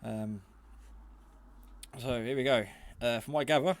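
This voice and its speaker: A man's voice: mid-range voice, unhurried. A man giving a casual, hands-on product demonstration in a hesitant, rambling, matter-of-fact way. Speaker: hobbyist radio reviewer. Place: garage.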